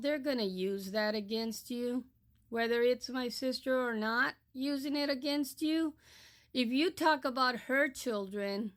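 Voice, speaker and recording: Slow, firm older woman from Texas. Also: a little high, fairly bright tone. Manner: firm, admonishing, deliberate. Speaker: social media creator. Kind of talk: direct-to-camera monologue.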